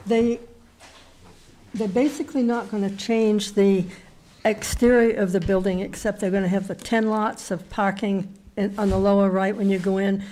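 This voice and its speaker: A woman chairing a town planning board meeting, with a slightly raspy voice, often hesitating and repeating words as she thinks. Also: mid-range voice, warm tone. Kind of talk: municipal meeting discussion.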